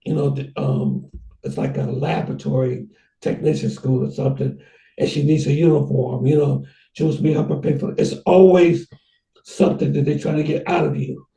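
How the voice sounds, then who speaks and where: A man with a gravelly, very dark voice talks in a loose, rambling way, often restarting his sentences. He sits back from the mic in an echoey room. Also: on the high side, steady tone, recorded from a distance. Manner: rambling, halting, many restarts. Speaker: host. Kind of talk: casual conversation.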